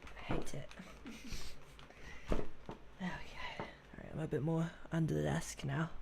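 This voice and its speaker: A woman with a low, gravelly voice murmurs to her stream chat as she draws, often dropping to a half-whisper. She talks slowly and unhurriedly in a soft, relaxing way, close to a desk microphone. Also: level tone, noisy background. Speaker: streamer drawing fan art. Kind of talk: casual live-stream chat while drawing. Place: at a desk at home.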